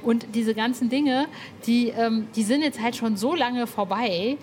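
A woman's voice: a little high, measured pace, a little mellow, a little hiss or hum. A woman interviewing in a casual, engaged way, searching for words as she builds her point. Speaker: podcast interviewer.

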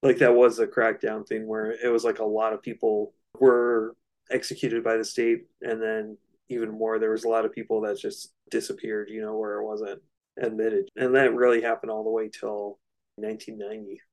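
A man's voice: fairly low pitch, little pitch movement, normal speed, a little mellow, low-quality recording. A man co-hosting a podcast, explaining things informally in loosely phrased, casual discussion. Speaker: podcast co-host.

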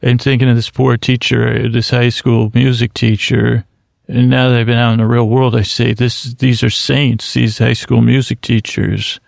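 A man hosting a podcast, musing in a self-mocking, deadpan way and wandering off on long tangents. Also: medium pitch, normal speed, creaky, no room sound. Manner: goes off on rambling tangents. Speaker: podcast host. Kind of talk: rambling solo podcast intro.

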